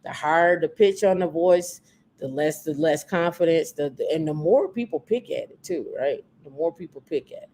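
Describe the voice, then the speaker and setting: A woman with a naturally deep voice, hosting a casual podcast chat and repeating her points for emphasis. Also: moderate pace, dark tone. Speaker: podcast host.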